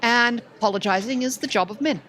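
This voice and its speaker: A woman's voice: a little high, quick pace, heavy background noise. A woman trading playful, cheeky casual banter as a podcast panelist.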